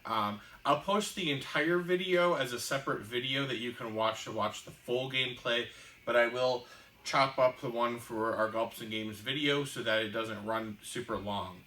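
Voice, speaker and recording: Guy explaining a board game, lots of ums, bright tense voice, kinda far from the mic in an echoey room. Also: medium pitch. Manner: halting, many ums and restarts. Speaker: podcast co-host teaching a board game. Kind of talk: casual explaining.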